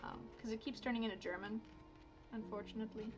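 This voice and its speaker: A woman's voice: on the high side, steady tone, fast talker, muffled recording, music in the background. A woman in her thirties from Miami, chatting casually while drawing on a livestream, rambling with lots of fillers and self-corrections. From Miami, United States. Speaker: art streamer.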